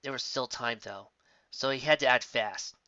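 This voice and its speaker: A man reading fan fiction aloud as an expressive scripted story narrator. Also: medium pitch, normal speed, no room sound, muffled recording.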